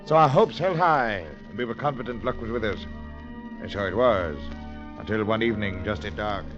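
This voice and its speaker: An actor playing a cultured gentleman adventurer who narrates a vintage radio drama in a measured, earnest way. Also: on the low side, natural voice. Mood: narrating. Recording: muffled old radio broadcast with music.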